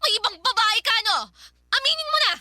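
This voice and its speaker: A shrill, accusing young wife. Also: female voice, animated, fast, bright, forceful tone, dry recording, clean studio sound, exaggerated voice. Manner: shrill, confrontational. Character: sharp-tongued young wife, accusing and scornful. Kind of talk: radio drama acting.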